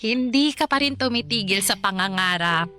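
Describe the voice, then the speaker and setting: A woman playing a gentle, pious elderly adoptive mother in a radio drama, speaking softly with small chuckles. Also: mid-range voice, lively intonation, normal speed. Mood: gentle warm. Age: older.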